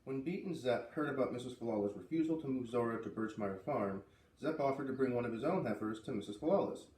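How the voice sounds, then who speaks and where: A man's voice: mid-range voice, animated, quick pace, darkish tone. A man hosting a read-aloud, narrating a children's book. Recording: echoey room, distant mic, faint noise.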